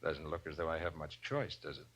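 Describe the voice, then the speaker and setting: An actor playing a commanding, quick-witted detective hero, brisk and confident, in a 1940s radio crime drama. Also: male voice, rumbling low, a bit bright. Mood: tense, defiant. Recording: dull vintage 1940s radio recording.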